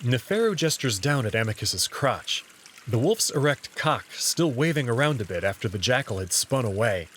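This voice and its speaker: A man's voice: medium pitch, big pitch swings, normal speed, fairly bright tone, slight background noise. A man reading first-person story narration in the role of narrator.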